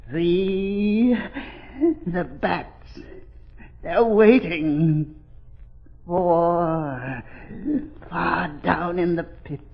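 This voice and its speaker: An actor playing a sadistic, gloating murderous doctor in theatrical 1930s radio style. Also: male voice, sits high, gravelly, full character voice. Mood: sinister relish. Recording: muffled old 1930s radio recording.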